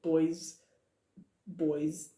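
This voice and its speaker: A woman's voice: low voice, drawn-out words, reverberant. A young woman in a casual rambling monologue, giggling often and filling with many "uh"s and restarts. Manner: giggly, many fillers and restarts, excited asides. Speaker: podcaster talking about a book.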